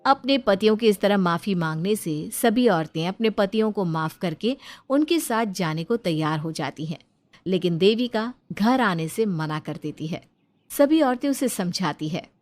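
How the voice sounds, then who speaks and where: A woman's voice: mid-range voice, expressive, unhurried, darkish tone. Female storyteller, mid-range voice, scripted narration.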